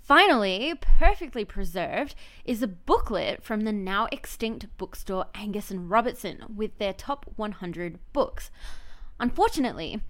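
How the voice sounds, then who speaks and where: A woman's voice: medium pitch, smooth. A woman in her thirties with an Australian accent hosting a podcast solo in a chatty, wry, self-deprecating way. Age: 30s. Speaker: podcast host.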